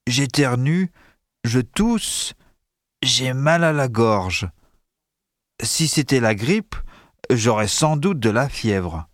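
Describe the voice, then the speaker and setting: A man reading a school dictation text slowly and deliberately, speaking the punctuation marks aloud. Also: mid-range voice, no echo, studio quality. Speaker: dictation reader.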